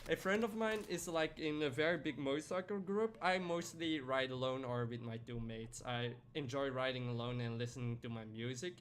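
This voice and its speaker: A young man talking casually while he streams a game, rambling easily from one story to the next and stopping to answer chat by name. His voice sits at an ordinary middle pitch, and there is a faint hiss of background noise. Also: normal speed, few pauses. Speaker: live streamer.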